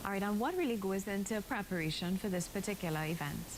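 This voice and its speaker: A woman's voice: mid-range voice, fast talker. A woman hosting a morning broadcast interview. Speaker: morning show host. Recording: clear background noise.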